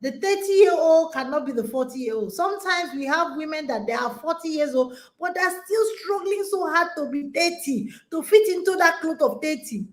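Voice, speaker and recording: High-voiced woman giving an emphatic pep talk. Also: animated, moderate pace, hardly any pauses. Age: 40s. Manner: emphatic and exhorting, with repeated rallying phrases. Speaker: conference host and women's coach. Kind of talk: motivational talk to an online audience.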